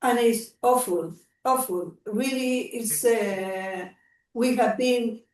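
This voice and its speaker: A woman's voice: a little high, slow, slight background noise. A woman from Chile with a Chilean Spanish accent, speaking informally as a participant in a class discussion. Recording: roomy, echoey, distant microphone.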